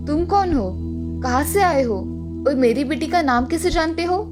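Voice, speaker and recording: A man's voice: extremely high pitch, animated, normal speed, music in the background, voice pushed up. A man doing a fretful scolding mother. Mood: alarmed. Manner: scolding, anxious. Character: worried scolding middle-aged mother.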